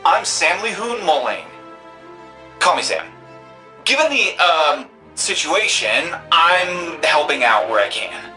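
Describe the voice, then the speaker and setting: A young tech-whiz sidekick for a video game, exuberant and slangy. The voice is bright and very lively, playful and cheeky, with faint music behind it. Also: medium pitch, slow pace, full character voice. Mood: casual. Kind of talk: video game character dialogue.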